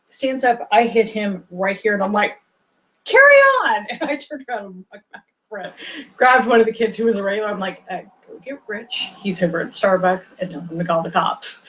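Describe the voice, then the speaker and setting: Animated woman, loud projecting voice. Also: mid-range voice, a little hiss or hum, low-quality recording. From former record store worker. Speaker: podcast co-host. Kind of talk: casual movie review chat.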